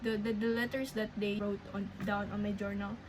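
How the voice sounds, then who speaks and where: Slow, rambling Filipino-accented young woman. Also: mid-range voice, little pitch movement. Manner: slow, rambling, hesitant with many restarts. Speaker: language learning vlogger. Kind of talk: casual update vlog. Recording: clear background noise.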